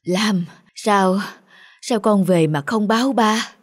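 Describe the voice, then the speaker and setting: A man voicing a gentle, ailing father in a cartoon drama, weary, soothing and forbearing. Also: high voice, slow.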